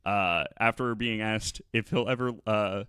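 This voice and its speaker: A man telling a story in a casual, halting podcast style, often repeating words as he goes. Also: lowish voice, steady tone, bright, forceful tone, clean tone, dry recording, studio quality. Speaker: podcast guest panelist.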